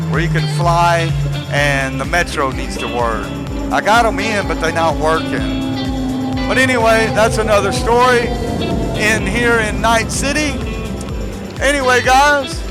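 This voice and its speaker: A man's voice: high voice, measured pace. A man giving casual, rambling gameplay commentary and chatting to his viewers in a conversational way. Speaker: gaming video creator. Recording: loud music behind the voice.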